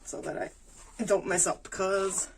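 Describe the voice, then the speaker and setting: A woman, talking through her crafting casually, thinking aloud with pauses and fillers. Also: mid-range voice, little pitch movement, slight background noise. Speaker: planner vlogger. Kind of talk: casual plan-with-me narration while crafting.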